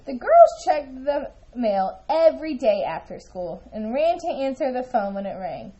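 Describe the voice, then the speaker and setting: A woman reading a children's story aloud in an expressive, animated way, acting out the dialogue. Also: high-pitched, measured pace, highs cut off. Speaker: storybook reader.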